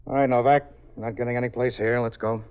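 A man's voice: medium pitch, fairly even intonation, quick pace, clean tone, lightly acted. An actor playing a blunt, impatient police lieutenant interrogating a woman, in a 1950s radio drama. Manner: hard-nosed, blunt interrogation. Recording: dull old radio broadcast recording.

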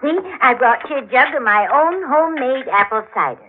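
A fairly high-voiced woman as a fussing, motherly busybody in a radio mystery. She is genteel, cooing and insistent, heard through a tinny vintage recording. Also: lively intonation, normal speed, slight background noise. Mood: sweetly fussing. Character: chatty, fussing, intrusive motherly landlady or neighbor. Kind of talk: 1940s radio acting. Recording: tinny, narrow-band 1940s radio recording.

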